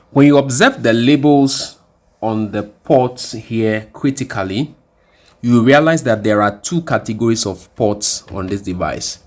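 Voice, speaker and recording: Man, fairly low voice, tutorial presenter, deliberate explanatory delivery, restates points. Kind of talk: instructional hardware demonstration.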